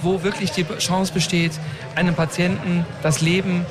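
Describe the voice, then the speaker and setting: A man's voice: high voice, flat delivery, normal speed. A man heading a charity, explaining its purpose earnestly in an outdoor interview. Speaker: charity head. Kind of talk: interview answers. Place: outdoors at festival. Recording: music playing behind.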